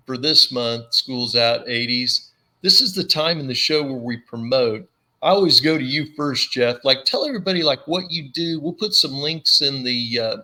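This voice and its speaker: A man hosting a podcast, telling funny stories in casual chat and acting out the people in them. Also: poor audio. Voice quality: low commanding voice. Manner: animated storyteller, acts out voices. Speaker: podcast host.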